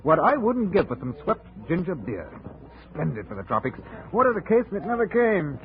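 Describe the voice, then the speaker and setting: An actor playing a homesick British colonial official in an old-time radio drama, rambling and fussy with hesitant, wistful asides. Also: male voice, fairly high pitch, big pitch swings, moderate pace. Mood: chatty, wistful. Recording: muffled vintage radio recording.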